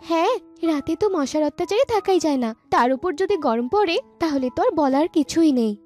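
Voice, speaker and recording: A woman's voice: very high voice, a little mellow. A woman voicing a chatty, argumentative young village girl in a cartoon. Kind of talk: cartoon dialogue.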